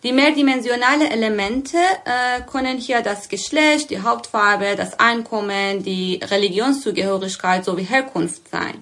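A woman's voice: fairly high pitch, moderate pace, few pauses, a bit bright. A woman reading an explanation, speaking directly to the listener. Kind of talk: scripted explainer narration.

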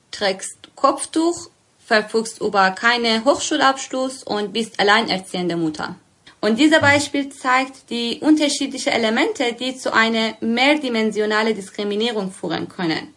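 A woman's voice: a little high, animated, unhurried, fairly bright tone. Woman explaining to the listener. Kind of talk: scripted explainer narration.

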